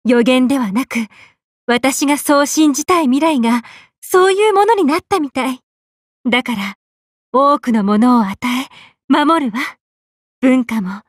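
A woman's voice: high voice, no room sound, studio quality. A woman voicing a tall, affectionate big-sisterly sun goddess in an anime game, swinging between bubbly and tender. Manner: swings between excited exclamations and gentle, tender speech. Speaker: game character. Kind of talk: Japanese anime game voice acting.

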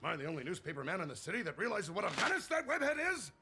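Gravelly, ranting newspaper boss. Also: male voice, sits high, very animated, very fast, heavy background noise, heavily acted. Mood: outraged ranting. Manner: ranting, shouting. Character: blustering middle-aged male newspaper publisher.

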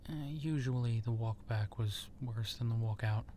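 A male actor plays a man who secretly turns werewolf, friendly and self-effacing, in an intimate audio drama. His medium-pitched voice is halting and conversational. Some ambient noise runs behind the voice. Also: warm tone, close to an everyday voice. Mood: friendly, grateful. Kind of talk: roleplay audio drama acting, intimate ASMR.

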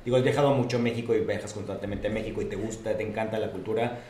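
A man's voice: on the low side, steady tone, rapid-fire, darkish tone. A man from Guadalajara with a Mexican accent, co-hosting a podcast in casual talk, joking and stumbling over repeated words. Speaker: podcast co-host. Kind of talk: casual conversation. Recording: echoey room, distant mic, faint noise.

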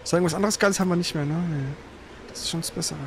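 Guy streaming a game, high voice, muttery casual commentary, noisy behind. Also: fairly even intonation, rapid-fire. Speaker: gaming streamer, player. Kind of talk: casual live gameplay commentary.

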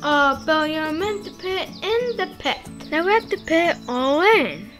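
A high voice playing a slow, put-upon lazy farmer man in a homemade stop-motion cartoon, whiny and weary. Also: very animated, fairly bright tone, soft background music, exaggerated voice.

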